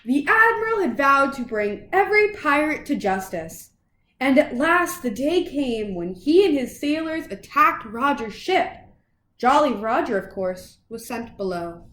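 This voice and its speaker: High-pitched, animated woman reading a storybook. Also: measured pace, reverberant, a little hiss or hum. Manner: animated, dramatic phrasing for young children. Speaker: children's storybook reader. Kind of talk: expressive picture-book read-aloud.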